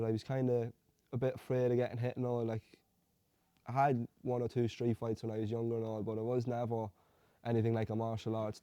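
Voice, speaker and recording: A young man from Hungary, an amateur fighter telling his story in a relaxed, rambling way. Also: mid-range voice, no room sound. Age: young adult. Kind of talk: casual interview answers.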